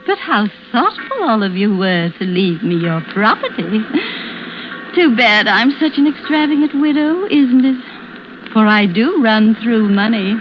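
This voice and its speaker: An actress playing a murderous, scheming widow who taunts with false helplessness in an old-time radio suspense drama. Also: female voice, on the high side. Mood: mock sorrow. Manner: taunting, theatrical, drawn-out monologue. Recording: muffled vintage radio recording with music.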